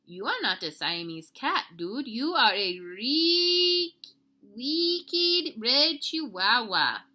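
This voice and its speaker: Woman voicing an excitable high-pitched kitten boy hero. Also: drawn-out words, exaggerated voice. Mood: bold. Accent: put-on Mexican Spanish. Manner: dramatic, exclaiming, singsong. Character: excitable little boy kitten playing Chihuahua space hero.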